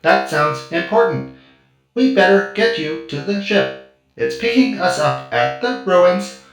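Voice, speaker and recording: Big, slow, gentle droid. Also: male voice, sits high, expressive, creaky, roomy sound, loud music behind the voice, pitched above the performer's own voice, exaggerated voice, poor audio. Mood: friendly, earnest. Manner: slow, drawn-out, simple earnest delivery. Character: huge gentle timid loading droid, slow and friendly.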